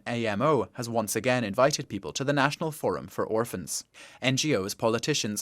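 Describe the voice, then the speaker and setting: A man reads a documentary radio feature in a calm, measured voice. His pitch sits in the middle for a man, and the studio sound is dry and close. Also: dry recording. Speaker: reporter narrating a radio feature. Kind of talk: scripted documentary narration.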